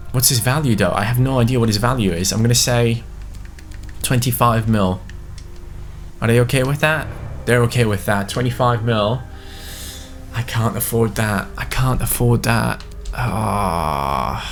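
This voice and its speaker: A young man giving casual, excitable commentary on a football video game, swinging into panicked cries when things go wrong. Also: lowish voice. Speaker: gaming YouTuber.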